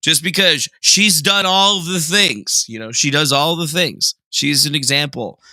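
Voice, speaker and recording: Bright-voiced young man hosting a livestream. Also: fairly high pitch, measured pace, no room sound. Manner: jokey, sarcastic, self-mocking; reads chat aloud and reacts. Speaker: livestream host. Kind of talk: casual livestream chat.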